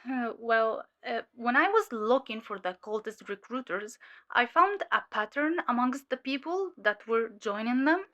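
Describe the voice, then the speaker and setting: A woman playing a weary, sharp undercover cult infiltrator giving hesitant, measured testimony in an audio drama. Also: fairly high pitch, lively intonation, lo-fi sound. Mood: matter-of-fact.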